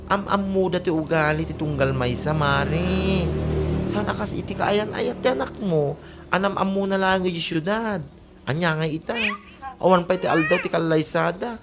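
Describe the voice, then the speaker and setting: A woman's voice: on the low side, normal speed, lightly acted. A woman playing a gossipy, mocking middle-aged villager in a comedy radio drama, with an Ilocano accent and chiding manner. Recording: clear noise behind voice.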